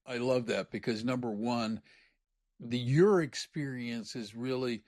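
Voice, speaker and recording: A man co-hosting a podcast, telling stories in an animated way with big jumps in pitch. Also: measured pace, no room sound, clean studio sound. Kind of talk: casual interview chat.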